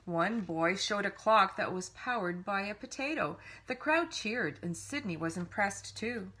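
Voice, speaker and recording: A woman reads a picture book aloud as a storyteller for young listeners. Her delivery is animated, with dramatic emphasis on key words, at a medium pitch. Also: unhurried, a little hiss or hum, low-quality recording. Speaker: children's storybook reader. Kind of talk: expressive read-aloud storytelling.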